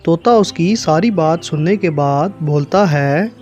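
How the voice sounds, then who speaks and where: High-pitched male story narrator. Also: measured pace, a little mellow, soft background music. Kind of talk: scripted children's story narration.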